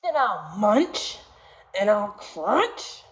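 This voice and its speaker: A woman voicing a big bad male shark, a menacing storybook villain making slow, drawn-out threats. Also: mid-range voice, sing-song. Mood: threatening. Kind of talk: storybook read-aloud.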